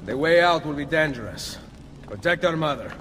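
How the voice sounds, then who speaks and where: Man playing a hero, swinging pitch. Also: expressive, moderate pace, noisy background, natural voice. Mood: grave resolve. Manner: earnest, resolute, terse. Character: determined male Italian assassin nobleman, grave, protective. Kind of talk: video game cutscene acting.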